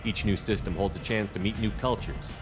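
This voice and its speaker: Guy playing a tough space soldier hero in a game, low voice, terse and controlled, music in the background. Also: fairly even intonation, brisk, natural voice. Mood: cool, resolute. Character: tough, cool-headed military hero of a space adventure. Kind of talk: video game character acting.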